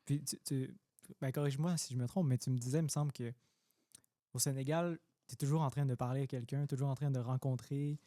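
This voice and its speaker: Interviewer asks halting casual questions, warm dark timbre. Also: mid-range voice, fairly even intonation, no echo, clean studio sound. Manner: hesitant questions, many restarts.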